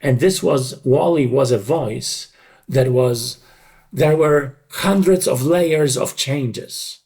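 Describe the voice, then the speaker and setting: A middle-aged or older man speaking reflectively in an interview, in deliberate, halting, emphatic short phrases. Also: medium pitch, darkish tone. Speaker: dubbing creative director.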